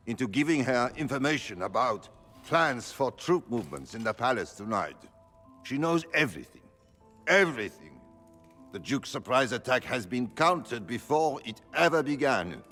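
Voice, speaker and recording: A man's voice: medium pitch, very animated, moderate pace, fairly bright tone. A man playing a duped, embarrassed palace conspirator in a fantasy video game, flustered and pleading. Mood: desperate, pleading. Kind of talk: dramatic fantasy game dialogue. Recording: long hall reverb, background music.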